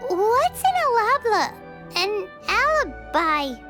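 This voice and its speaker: A woman voicing a small, excitable, curious fairy companion in a video game, with a squeaky childlike voice and exclamatory delivery. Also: slow pace, over music, exaggerated voice. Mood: puzzled. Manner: speaks of self in third person, exclamatory.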